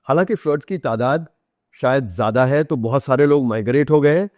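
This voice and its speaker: A middle-aged man with an Indian accent talks as an interview guest, unfolding anecdotes in a conversational, explanatory way. His pitch is average for a man and he slips into English phrases now and then. The sound is dry, with no room on it. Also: a little fast, darkish tone, no echo. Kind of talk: conversational storytelling in a podcast interview.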